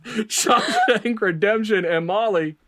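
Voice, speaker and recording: Male quiz host: high register, deliberate pacing, announcer-style readouts mixed with casual banter. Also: bright, forceful tone. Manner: announcer-like, repeats contestants' answers back. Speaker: game show host. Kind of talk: lively scripted quiz hosting with casual banter.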